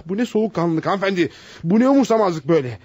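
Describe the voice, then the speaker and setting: An actor playing a flustered, indignant psychologist trapped by blackmail, stammering out protests in a radio theater style. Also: male voice, high-pitched, expressive, fast, darkish tone, breathy edge, no echo, highs cut off. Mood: anguished outrage. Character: panicked, outraged male psychologist caught in blackmail trap. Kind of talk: Turkish radio theater acting.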